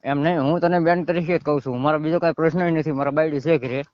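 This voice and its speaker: Man in his 30s, Saurashtra Gujarati accent, quick speech, defensive, insistent, muffled phone call. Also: a little high, steady tone, no echo. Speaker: caller. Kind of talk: argumentative phone conversation.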